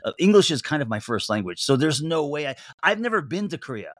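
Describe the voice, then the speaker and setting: A man hosting a casual interview chat, earnest and halting, often restarting his sentences. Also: fairly high pitch, sing-song, a bit bright, dry recording, clean studio sound. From came to the US at three. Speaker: podcast host.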